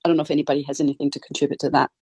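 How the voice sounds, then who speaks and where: A woman with a Danish accent talks briskly through a call-in panel, her voice slightly husky. The sound is dry and close, with no room around it. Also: low voice, flat delivery, a little mellow, dry recording. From Denmark. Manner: brisk, frequent "right?" tags. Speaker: gender equality consultant. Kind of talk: casual panel discussion.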